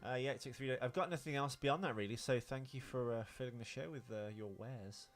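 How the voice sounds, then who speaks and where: A man's voice: mid-range voice, brisk, soft background music. A man co-hosting a podcast, chatting casually about films in a joking, self-deprecating way. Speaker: podcast co-host. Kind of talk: casual film discussion chat.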